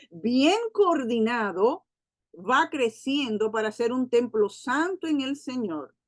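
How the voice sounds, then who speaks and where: A woman from the Dominican Republic teaching the Bible to a women's gathering. She slows and lifts her voice for scripture and adds quick asides and tag questions. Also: high voice, no room sound.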